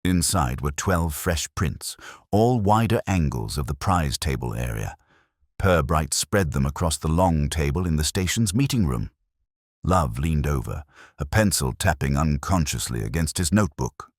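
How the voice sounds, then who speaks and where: A man with a very deep voice narrates a mystery story. His delivery is measured and deliberate, yet expressive in pitch, with a dry, close sound. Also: dry recording.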